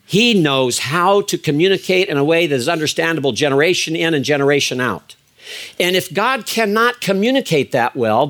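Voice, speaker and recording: An older man giving a lecture as a biblical Hebrew teacher, measured and emphatic, with frequent fillers and restarts. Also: on the high side, fairly bright tone. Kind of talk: recorded lecture.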